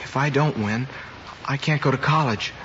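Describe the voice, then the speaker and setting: A young man acting a studious, cocky high school boy who hides his self-doubt, in 1950s radio drama style. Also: moderate pace, fairly bright tone, close to an everyday voice. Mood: anxious, confiding. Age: young adult. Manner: brash confidence hiding self-doubt. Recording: muffled, very noisy old radio recording.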